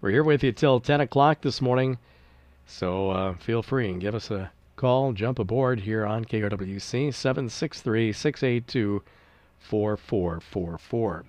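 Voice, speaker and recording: A middle-aged man hosting a local radio call-in show in a studio, hoarse and raspy from congested sinuses, talking in an easygoing, chatty way. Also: lowish voice, unhurried, a little mellow, dry recording. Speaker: radio host. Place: small-town radio studio. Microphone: studio microphone.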